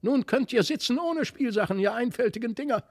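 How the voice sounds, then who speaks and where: A man's voice: very high-pitched, lively intonation, pitched above the performer's own voice, exaggerated voice. A man voicing a spiteful female toy doll come to life, with an ugly squawk, in a fairy-tale reading. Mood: spiteful taunting. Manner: taunting, scolding, drawn-out threats.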